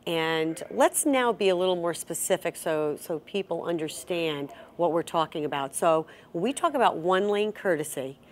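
A middle-aged woman with a lowish voice leads a bowling lesson as host. She explains things step by step and prompts her co-host with guiding questions. She sits at a table in a bowling center. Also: lively intonation, moderate pace, few pauses, slight background noise. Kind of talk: instructional chat.

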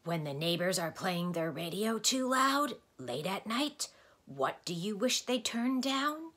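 A woman's voice: mid-range voice, sing-song, slow, fairly bright tone, pitched above the performer's own voice, exaggerated voice. A woman voicing a blustering, easily exasperated male doctor in a storybook, lecturing and quizzing emphatically. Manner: emphatic, questioning, declamatory.

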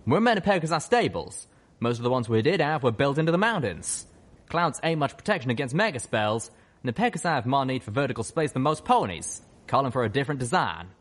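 A folksy pegasus stallion from a story reading, acted by a man. He speaks plainly and informally, at a pitch lifted above the narration. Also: big pitch swings, a little fast. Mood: explanatory.

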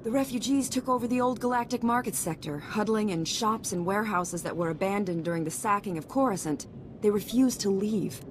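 An actress playing a stern, formal senator with authoritative oratory in a video game. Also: female voice, a little fast, lightly acted. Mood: stern urgency. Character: stern, commanding female senator, pressed for time. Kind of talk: video game cutscene acting. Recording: noticeable rumbling background noise.

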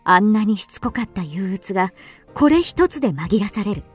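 An actress playing a wistful, introspective first-person storyteller in a literary radio drama. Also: female voice, medium pitch, very animated, normal speed, slightly rough, soft background music. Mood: wistful, relieved. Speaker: actor narrating.

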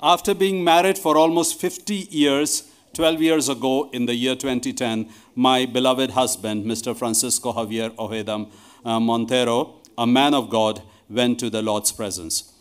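A man's voice: mid-range voice, fairly even intonation, normal speed, clean tone. A middle-aged man speaking to a congregation from a church lectern microphone. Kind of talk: addressing a congregation, reading a testimony aloud. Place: large church hall, indoors.

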